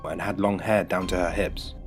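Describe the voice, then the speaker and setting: Low-pitched man narrating a rhyming storybook. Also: steady tone, moderate pace. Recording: music bed under the voice. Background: music.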